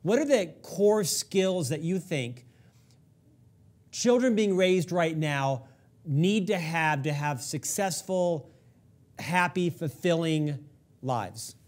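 A middle-aged man with a slightly high voice hosts an interview from a studio, wearing a lapel mic. His questions are conversational yet prepared, phrased deliberately and with emphasis. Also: animated, a little mellow. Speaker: podcast host, interviewer. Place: indoor studio set.

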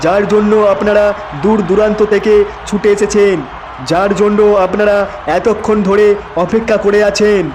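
A man voicing a grandiose cartoon stage announcer with a dramatic, drawn-out delivery. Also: high voice, level tone, a crowd in the background, noisy background, close to an everyday voice. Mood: grand, excited. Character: grandiose stage announcer hyping a football crowd. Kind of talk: theatrical event announcement.

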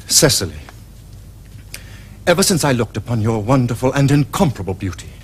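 Animated, ardent English young gentleman. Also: mid-range voice, fairly quick, slightly rough. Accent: English Received Pronunciation. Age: young adult. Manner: earnest, flowery, theatrical declarations. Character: ardent, florid young Victorian gentleman suitor. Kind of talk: period stage comedy acting for television.

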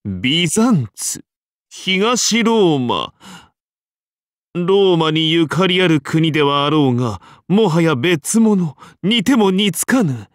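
A grandiose Roman ruler from a video game. He speaks very slowly and pompously, with an amused, condescending air and deliberate phrasing. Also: a little high, sing-song, no room sound, studio quality. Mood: haughty, amused. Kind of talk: scripted game character dialogue.

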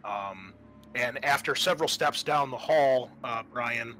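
A man with a mid-pitched voice works as game master of a tabletop roleplaying game. He narrates and explains rules in a hesitant, think-aloud way. Clear background noise runs behind him. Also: a little slow, sharp tone.

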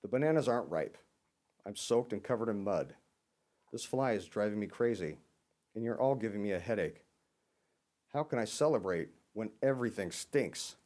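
A man's voice: on the low side, animated, normal speed, long pauses, a little mellow, natural voice. Man doing a grumbling grumpy monkey. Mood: exasperated, complaining. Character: grumpy young monkey, complaining storybook character.

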